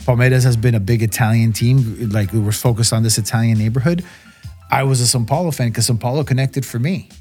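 A man from Brazil talks about his soccer club in a relaxed podcast conversation. He speaks earnestly, circling back and repeating words as he works out his point. Faint music sits behind his voice. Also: medium pitch, quick pace, breathy edge.

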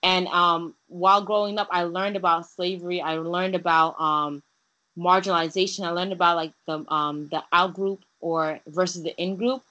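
A young woman from Haiti speaks earnestly as an interview guest, pausing on fillers and restarting her sentences. Her voice sits a little low and sounds bright and clear. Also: fairly even intonation, dull audio. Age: young adult. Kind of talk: casual interview.

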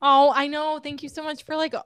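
A woman of thirty co-hosting a podcast, chatting casually and encouraging her guest with quick affirmations. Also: high-pitched, level tone, a bit bright, no room sound. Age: 30s. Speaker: podcast co-host.

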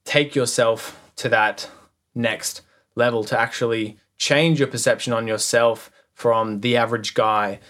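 A man's voice: mid-range voice, fairly even intonation, unhurried, fairly bright tone. A man co-hosting a casual podcast, speaking earnestly about mindset and putting questions to his co-host. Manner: earnest, motivational, asks the other host questions.

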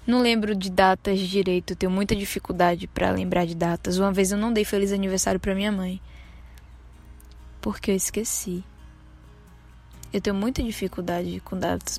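Young Bahian woman with a gravelly voice. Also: medium pitch. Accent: Bahian Brazilian Portuguese. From Salvador, Bahia, Brazil. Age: 20s. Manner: casual, conversational, self-deprecating. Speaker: podcast host. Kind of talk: personal storytelling podcast.

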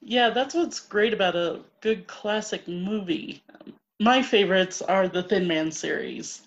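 A woman's voice: mid-range voice, a little slow. A woman hosting a podcast, friendly and eager, interviewing an author. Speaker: podcast host. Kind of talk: casual interview chat. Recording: dull lo-fi audio.

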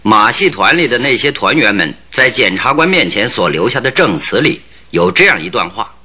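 Confident detective, radio drama, animated intonation, very bright hard-edged voice, probing, needling, theatrical. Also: male voice, lowish voice, moderate pace, heavily acted. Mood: firm, reasoning. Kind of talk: Chinese radio drama acting.

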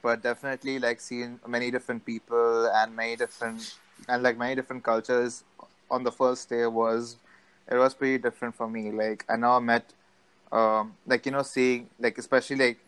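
A man's voice: mid-range voice, flat delivery, a little fast, fairly bright tone, clean tone, no echo, low-quality recording. Male, young adult, laid-out casual podcast chat with playful slang, clean tone, very little room sound. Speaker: podcast guest.

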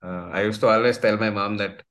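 A man's voice: fairly low pitch, fairly even intonation, fairly quick, fairly bright tone. An Indian man with an Indian accent, hosting a casual conversation, hesitant and full of filler sounds. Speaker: podcast host.

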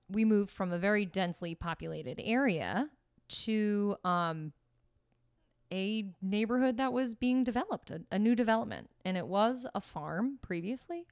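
A woman who grew up in Staten Island and Pennsylvania, co-hosting a casual chat podcast and telling animated, playful stories. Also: mid-range voice, clean tone, dry recording, studio quality. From Staten Island, New York, then Pennsylvania. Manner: animated storytelling with playful bits. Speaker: podcast co-host.

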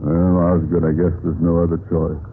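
An actor playing a pompous, blustering middle-aged school principal and henpecked husband, with a theatrical, stammering delivery in a 1950s radio comedy. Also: male voice, low-pitched, level tone, moderate pace. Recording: tinny, noisy vintage radio recording.

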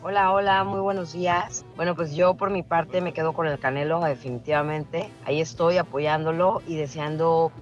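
A man's voice: a little high, steady tone, moderate pace, hardly any pauses, lo-fi sound, soft background music. A man calling in to a radio show with friendly greetings and his pick. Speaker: caller.

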